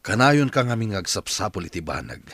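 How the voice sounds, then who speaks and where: A deep, husky voice of a stern, wealthy father in a radio play. He is curt, defensive and determined, recorded dry. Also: very animated, fairly quick, fairly bright tone, dry recording, full character voice. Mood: curt, irritated. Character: stern wealthy father determined to find his lost child. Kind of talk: Ilocano radio drama acting.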